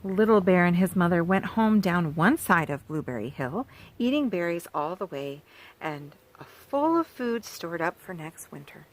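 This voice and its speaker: A woman reading a children's picture book aloud on a farm, gently pausing to point out the pictures to young listeners. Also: fairly low pitch, animated, low-quality recording. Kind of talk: storybook read-aloud for children.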